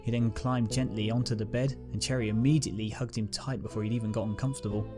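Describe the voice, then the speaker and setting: Man narrating a story, calm, steady, mid pitch, plucked guitar music behind. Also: fast. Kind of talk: fanfic audiobook reading.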